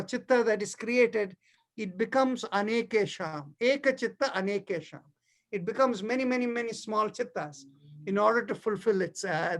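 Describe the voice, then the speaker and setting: A middle-aged man with an Indian accent, a native Tamil speaker, gives a spiritual lesson. He explains ideas step by step in a didactic way, often pausing to check that he is understood. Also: high-pitched. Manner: didactic, checks understanding with short tag questions. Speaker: yoga philosophy teacher.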